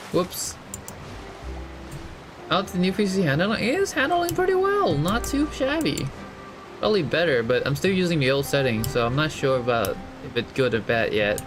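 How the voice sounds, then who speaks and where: A man giving casual, chatty, slangy live commentary as a game streamer, in a laid-back way. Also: fairly high pitch. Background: game music, typing.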